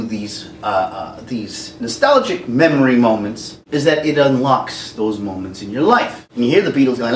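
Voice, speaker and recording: A man hosting a casual rant to camera, jokey and animated, now and then slipping into silly singing. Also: on the high side. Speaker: YouTube host. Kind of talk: ranting commentary to camera. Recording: echoey room, mic at a distance, faint background noise.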